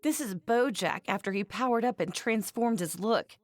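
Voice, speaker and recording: A woman voicing a fretful, scolding anime mother in a video game, blunt and expressive. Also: a little high, normal speed, no echo, lightly acted. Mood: matter-of-fact. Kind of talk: animated game voice acting.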